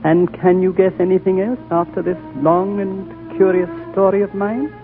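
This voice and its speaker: Ancient wise lama: higher-set voice, solemn and deliberate, 1940s radio drama on a tinny recording. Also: male voice, little pitch movement, faint music behind. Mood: gentle, knowing. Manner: measured, solemn, deliberate storytelling. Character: ancient, wise, gentle male High Lama.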